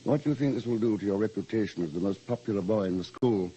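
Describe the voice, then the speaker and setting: An actor playing an anxious, stern English boarding school housemaster, with a British accent and formal, measured wording, in old radio drama style. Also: male voice, on the low side, warm tone, slight background noise, natural voice, rough recording. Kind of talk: old-time radio drama acting and first-person narration.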